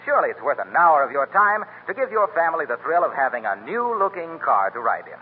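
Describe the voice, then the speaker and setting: A man's voice: fairly high pitch, sing-song, fast talker, narrow, tinny audio, a little hiss or hum. An American man announcing in polished, formal 1930s radio style, like a sponsor's salesman, on an old broadcast recording.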